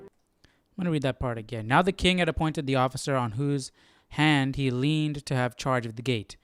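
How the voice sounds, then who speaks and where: A man reading aloud and commenting casually, thinking out loud in informal, slangy words. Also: medium pitch, moderate pace, clean tone, no room sound, faint music behind. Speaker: host of a live Bible study stream.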